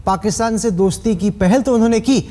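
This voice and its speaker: A woman's voice: on the high side, fast talker, dark tone. A woman news anchor conducting a broadcast interview with pointed, probing questions.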